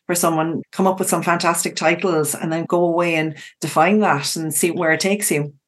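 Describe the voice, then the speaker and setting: A man's voice: fairly high pitch, fairly even intonation, moderate pace. A man hosting a podcast in a conversational way, encouraging and summing up points for listeners. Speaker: podcast host.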